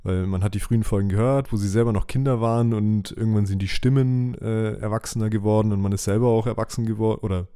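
A man's voice: lowish voice, fairly even intonation, fast talker, hardly any pauses, warm tone, clear, resonant tone. A young adult man co-hosting a podcast, chatting casually and nostalgically in hesitant, circling phrases. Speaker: podcast co-host.

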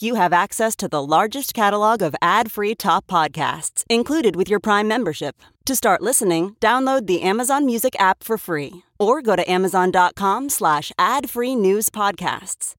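A woman's voice: mid-range voice, a bit bright, no echo, studio quality. A woman reading a scripted podcast advertisement. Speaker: advertisement announcer.

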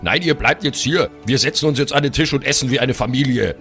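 Ein Mann spielt einen hungrigen, pflichtbewussten Zwergensohn, der liebevoll und beschwichtigend mit seiner Familie redet. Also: male voice, mid-range voice, fast, a bit bright, faint music behind, pitched above the performer's own voice, natural voice. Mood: placating.

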